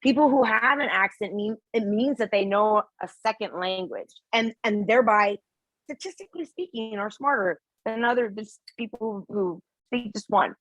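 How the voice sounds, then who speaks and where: A woman instructor teaching a test prep class, lively and asking the class questions as she explains with examples. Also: medium pitch, dry recording, muffled recording. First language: English.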